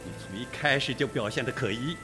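A man's voice: medium pitch, fairly quick, music in the background, natural voice. A man playing a blunt, suspicious police detective in a Mandarin radio mystery, with a sarcastic manner. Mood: accusing.

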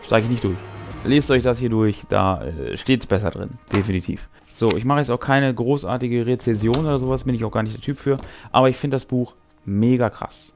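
Guy in his 40s chatting to camera about tabletop games, kinda wry and laid-back, mid pitch, bit of music under it. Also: brisk, a little mellow. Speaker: host. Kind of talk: casual talk to camera about a tabletop role-playing game. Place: small brick-walled game room indoors. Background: background music.

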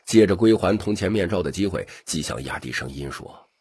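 Guy reading an audiobook, super low rough voice, lots of up and down. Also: expressive, fairly quick, a little mellow. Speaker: audiobook narrator. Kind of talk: scripted story narration.

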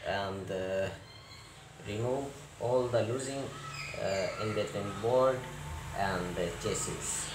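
A man giving step-by-step repair instructions in halting, fragmented English with non-native phrasing. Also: lowish voice. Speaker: repair technician, tutorial presenter. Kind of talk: step-by-step hands-on repair tutorial. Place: indoor repair workbench. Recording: roomy echo, clear background noise.

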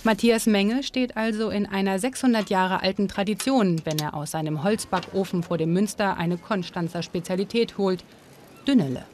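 A woman narrating a documentary and interviewing, measured and clear. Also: medium pitch, darkish tone, slight background noise. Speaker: documentary presenter. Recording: music bed under voice.

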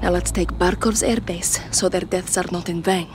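An actress playing a resolute, guarded rebel commander with a Middle Eastern accent, speaking in firm, terse lines in a video game. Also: female voice, lowish voice, fairly even intonation, moderate pace, music in the background, close to an everyday voice. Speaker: guerrilla commander. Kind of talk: cinematic video game acting. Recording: game score behind the voice.

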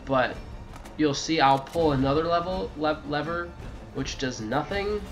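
A man's voice: on the high side, measured pace, soft background music. A man giving a casual video game walkthrough, rambling and correcting himself, with jokey asides to the game. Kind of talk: casual gameplay walkthrough commentary.

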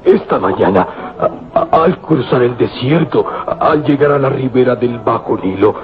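Man as humble servant, fearful stammer, medium pitch, radio drama, dull recording, music underneath. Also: animated, normal speed, close to an everyday voice. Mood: frightened. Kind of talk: old radio serial acting.

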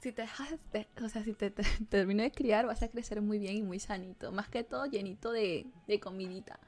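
A woman's voice: mid-range voice, fairly even intonation, fairly quick, darkish tone. A Peruvian woman in her twenties chatting casually and flirtatiously, with slangy, teasing talk. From Peru. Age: 20s. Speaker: streamer chatting with strangers on video chat.

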